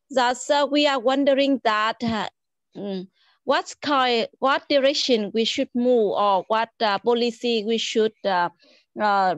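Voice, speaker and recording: A woman's voice: a little high, dry recording. A woman in her forties with a Vietnamese accent, talking over a video call in a polite, hesitant way with many fillers. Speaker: guest. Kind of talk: casual video call chat. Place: small room indoors. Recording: dull lo-fi call audio.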